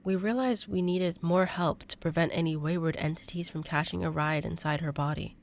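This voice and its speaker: An actress playing an earnest young female exorcist in an audio drama, halting and emotional. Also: lowish voice, steady tone, a little hiss or hum, close to an everyday voice. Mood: explaining. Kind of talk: intimate audio drama acting.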